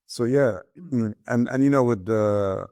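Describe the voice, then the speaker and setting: A man's voice: medium pitch, a little slow, warm tone, no echo. A middle-aged man from Ghana, a guest telling his life story in a thoughtful way, often restarting and repeating his words. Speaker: guest, business founder and author. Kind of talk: reflective personal storytelling.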